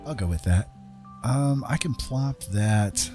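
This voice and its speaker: A man's voice: mid-range voice, expressive, slow pace. A man hosting a casual game livestream, rambling and thinking aloud as he chats with viewers. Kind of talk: casual livestream chat while playing. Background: game music.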